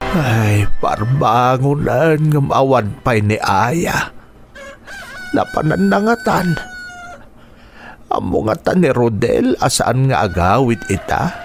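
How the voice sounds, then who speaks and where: A man's voice: medium pitch, big pitch swings, slow, faint music behind. A man playing a weak, ailing uncle in an Ilocano radio drama, plaintive and self-pitying. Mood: lonely, self-pitying.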